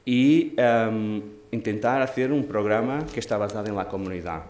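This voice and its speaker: A man in his forties with a Portuguese accent, a non-native speaker, moderating a panel in a thoughtful way, pausing and restarting phrases. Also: fairly low pitch, darkish tone. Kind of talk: panel discussion talk. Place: large dark auditorium stage. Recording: echoey hall with faint noise.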